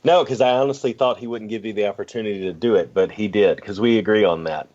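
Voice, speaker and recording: Co-host, easygoing jokester, low voice, dull lo-fi sound. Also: male voice, fast talker, a little mellow. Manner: laid-back, joking, fillers. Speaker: podcast co-host. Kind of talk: casual sports podcast banter.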